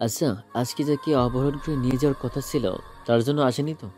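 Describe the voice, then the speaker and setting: A man's voice: mid-range voice, fairly even intonation, fast talker, darkish tone, lightly acted. A man playing a scheming kidnapper's henchman in an audio drama. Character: scheming male kidnapper henchman, quick-thinking, servile to boss. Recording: background music under the voice.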